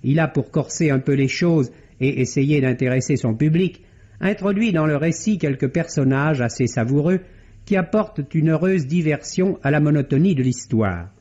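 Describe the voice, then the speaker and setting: Higher-pitched Frenchman, dull vintage radio recording. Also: lively intonation, moderate pace, dark tone. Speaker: radio film critic. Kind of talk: scripted 1950s French radio film review.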